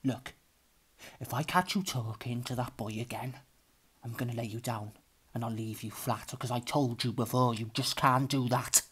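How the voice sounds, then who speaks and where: An actor plays a possessive, jealous boyfriend in a dramatic monologue. His voice is mid-pitched, his delivery intense and confrontational. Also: breathy edge, close to an everyday voice. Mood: quiet menace.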